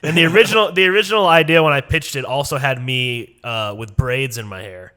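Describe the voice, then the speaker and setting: A man in his twenties, a guest on a casual podcast over a video call, chuckling often and letting his sentences trail off softly. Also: mid-range voice, brisk, smooth, poor audio. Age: 20s. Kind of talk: casual conversation.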